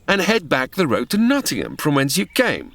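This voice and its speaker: A man's voice: on the high side, huge pitch swings, normal speed, gravelly, a bit bright, no room sound, full character voice. A man playing a gallant, taunting outlaw archer in a comedic audio drama, with flowery archaic speech. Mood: confident.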